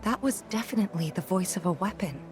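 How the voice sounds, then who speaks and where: A woman's voice: on the low side, lively intonation, quick pace, close to an everyday voice. A woman playing a confident, frank young adventurer in a video game, with a direct manner. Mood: insistent, serious. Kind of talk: video game cutscene acting. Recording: music behind the voice.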